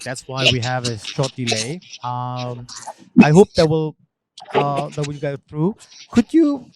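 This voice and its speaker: A man's voice: fairly high pitch, background noise. A man moderating an interview, polite and a little hesitant, with frequent fillers. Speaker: interviewer, attorney.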